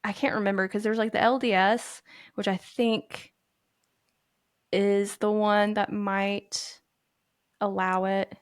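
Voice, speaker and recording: A woman's voice: medium pitch, little pitch movement, slow, long pauses, smooth, no echo, studio quality. A woman co-hosting a podcast, chatting casually in a candid, earnest and musing way. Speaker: podcast co-host. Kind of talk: casual chat.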